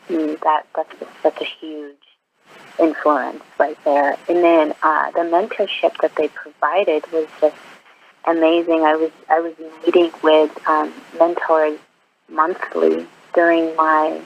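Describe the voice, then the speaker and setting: A woman in her twenties, from Iraq, speaks earnestly with frequent pauses and ums. Her voice is gravelly and stays flat in pitch. The sound is dull and lo-fi, like a phone line. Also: slight background noise. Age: 20s. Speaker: interview guest, nurse. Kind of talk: phone interview.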